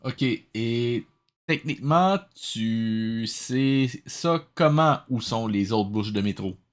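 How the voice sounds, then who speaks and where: A man's voice: mid-range voice, slow. A man with a Quebec French accent giving sarcastic, nitpicking reaction commentary, dropping in English phrases. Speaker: host of a cartoon review show.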